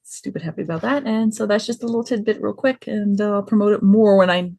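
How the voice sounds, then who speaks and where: A woman's voice: mid-range voice, fast talker, creaky, a little mellow, breathy edge, no room sound. A woman on a podcast panel chatting casually, self-deprecating and stumbling a little over her words. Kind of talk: casual chat.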